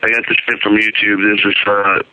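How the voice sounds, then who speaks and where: A man's voice: fairly low pitch, flat delivery, brisk, background noise. A man leaving a casual, rambling voicemail with gameplay advice.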